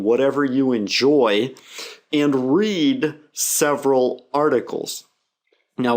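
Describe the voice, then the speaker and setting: A middle-aged man who is a native English speaker, explaining his language-learning method to students in a steady, measured way. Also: mid-range voice. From English-speaking country. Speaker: English teacher.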